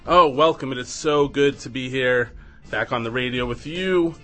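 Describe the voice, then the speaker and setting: Brief: male freeform radio DJ, raspy, rambling, bright tone, studio mic, faint music under. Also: medium pitch. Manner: rambling, self-deprecating, halting restarts. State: raspy from coughing. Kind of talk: casual radio banter. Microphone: studio microphone.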